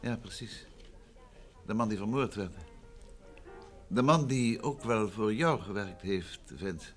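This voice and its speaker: A man's voice: lowish voice, slow pace, fairly bright tone, soft background music. An actor playing a courteous, inquisitive male detective in an old Dutch radio drama, asking probing questions in a conversational way. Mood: probing, genial.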